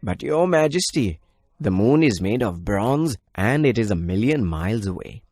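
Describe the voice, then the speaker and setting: A man's voice: fairly low pitch, sing-song, moderate pace, darkish tone, no echo. A man voicing a helpless court magician in a children's story, deferential and insistent as he protests. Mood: protesting.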